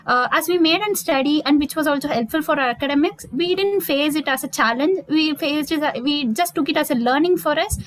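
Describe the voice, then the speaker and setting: Brief: high-pitched woman on a video call, formal phrasing, halting with frequent fillers. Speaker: student panelist. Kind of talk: video call panel answer.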